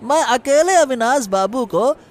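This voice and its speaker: Boastful village fish seller, man voicing, cartoon, taunting, high, slow. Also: animated. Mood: mocking. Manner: mocking, taunting. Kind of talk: cartoon storytelling.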